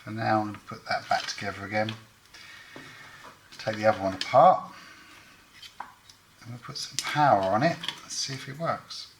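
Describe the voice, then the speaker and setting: A man's voice: fairly low pitch, moderate pace, long pauses, noisy background. A man giving hands-on repair commentary as host, thinking aloud in short, matter-of-fact phrases.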